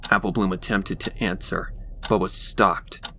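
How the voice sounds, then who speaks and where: A man's voice: low-pitched, steady tone, unhurried, bright, forceful tone. A man narrating a scripted dramatic story on a noisy home recording.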